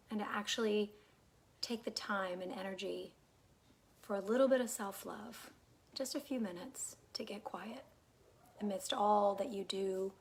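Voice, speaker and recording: A woman leading a guided meditation in a calm, unhurried, soothing way, her soft phrases trailing off into breathiness. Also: medium pitch, a little hiss or hum. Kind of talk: guided meditation, gentle instruction.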